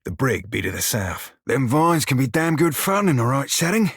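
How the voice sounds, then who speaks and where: An actor voicing a wry, foul-mouthed pirate shiphunter in a video game, speaking a colloquial sailor's dialect with a gritty, husky voice. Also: male voice, medium pitch, sing-song, moderate pace, dry recording, studio quality. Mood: wry, casual. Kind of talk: video game character dialogue.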